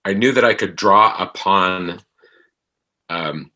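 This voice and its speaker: A man speaking as an interview guest, reflective and halting as he searches for his words. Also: deep voice, sharp tone. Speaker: podcast guest, actor.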